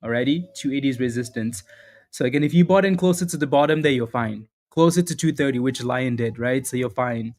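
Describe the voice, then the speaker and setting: A man with a mid-range voice hosts a live trading stream in a loose, upbeat way. He repeats himself and calls viewers by name, and he sounds a little hoarse, clearing his throat now and then. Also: mid-range voice, a little fast, a little mellow. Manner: casual, upbeat, repeats phrases, greets chatters by name. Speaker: stock trading livestream host. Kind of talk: live market commentary with chat shout-outs.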